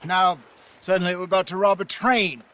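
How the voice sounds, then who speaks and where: A man's voice: sits high, steady tone, normal speed, fairly bright tone. An actor playing a cautious older American outlaw in a video game, earnest and cautioning as he speaks. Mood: worried, objecting. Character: cautious older male outlaw, doubting right-hand man of leader. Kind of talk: video game cutscene acting.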